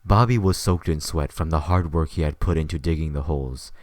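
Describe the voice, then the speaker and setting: Casting: male narrator, low register, close dry recording, scripted storytelling. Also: a little fast, darkish tone, dry recording.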